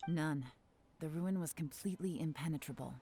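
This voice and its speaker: Low-voiced, commanding lady spymaster. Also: moderate pace, background noise. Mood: composed, explaining. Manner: composed, authoritative, probing. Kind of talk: video game voice acting. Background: game music.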